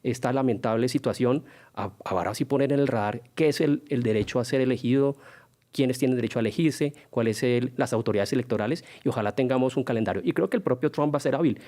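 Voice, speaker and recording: A man's voice: medium pitch, mellow. A man, a guest analyst on a television news panel, speaking in long discursive answers. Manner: rapid, discursive, with restarts. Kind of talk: debate commentary.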